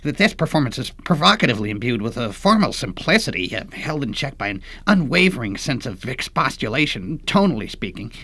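An actor playing a pompous, long-winded classical music critic with florid, hesitant phrasing in a satirical radio comedy. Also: male voice, medium pitch, sing-song, brisk, few pauses, bright, forceful tone, husky, no echo, full character voice. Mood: gushing.

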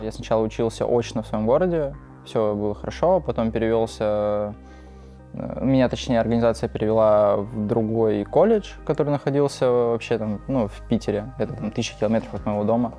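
A man's voice: medium pitch, fairly quick, dark tone, faint music behind. A young man from Russia, an esports player, rambling hesitantly about his studies while seated on a couch. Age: young adult. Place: living room on a couch. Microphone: lapel mic.

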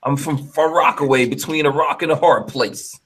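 Podcast host, man, forties, from Guyana; animated joking storyteller on a headset video call. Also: fairly high pitch, moderate pace, a little hiss or hum. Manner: animated, joking storyteller who laughs often. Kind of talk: casual chat. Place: home studio room.

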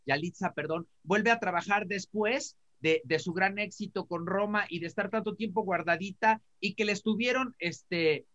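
A man's voice: sits high, moderate pace, few pauses, a bit bright. A middle-aged man with a Mexican accent giving emphatic panel commentary over a video call. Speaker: talk show panelist. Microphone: computer mic on video call. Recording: dull video call audio.

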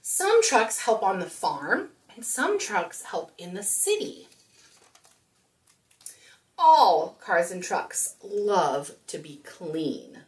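Animated woman hosting children's storytime, slightly distant. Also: medium pitch, very slow. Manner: expressive, addressing young children directly. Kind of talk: children's storytime talk and picture-book read-aloud. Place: small room indoors.

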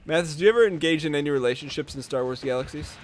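Slightly high-voiced man in gaming chat. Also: very fast, slight background noise.

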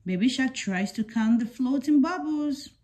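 A woman reading a children's picture book aloud in a deliberate, simple storytelling way for young children. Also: high voice, animated, mellow. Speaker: storybook reader.

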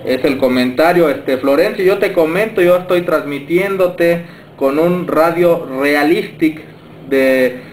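Mexican guy doing ham radio reports, echoey room, bit of hiss, polite and halting. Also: on the high side. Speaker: amateur radio operator. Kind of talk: radio contact signal reporting. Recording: roomy camera pickup with faint noise.

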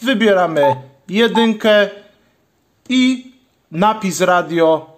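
Male tutorial host, voice a bit on the high side, methodical hands-on instruction. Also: animated, slow pace, slight background noise. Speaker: channel host, product demonstrator.

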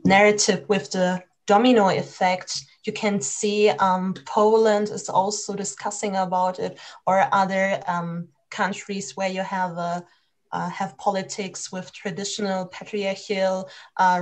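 A young woman moderating an online panel discussion on a video call, pausing often with fillers. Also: medium pitch. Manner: hesitant, with frequent fillers. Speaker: moderator of a lecture series.

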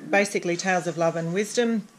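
A woman's voice: on the low side, fast. A woman in her thirties with an Australian accent, chatting casually in a home vlog about books. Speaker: homeschooling parent and vlogger. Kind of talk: casual vlog book round-up. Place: room at home. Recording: children's noisy chatter in background. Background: young children playing noisily.

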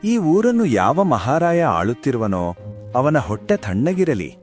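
A man playing an expressive character in a cartoon folk tale. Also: mid-range voice, measured pace, soft background music.

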